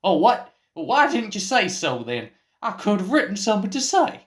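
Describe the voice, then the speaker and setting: A man acting out a young Texan sidekick, raised pitch, folksy drawl, cocky, excitable, radio comedy character. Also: moderate pace. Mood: folksy, defensive.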